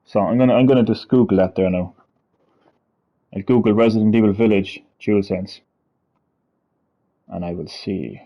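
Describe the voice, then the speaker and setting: A man hosting a casual gaming stream, rambling, laughing often and reading web text aloud haltingly. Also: lowish voice, dull audio. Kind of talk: casual gameplay chat.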